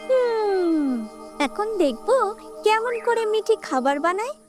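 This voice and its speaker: A woman's voice: extremely high pitch, lively intonation, a little slow, a little mellow. A woman voicing a proud, spiteful female crow in a children's cartoon, indignant and complaining. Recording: music under the voice.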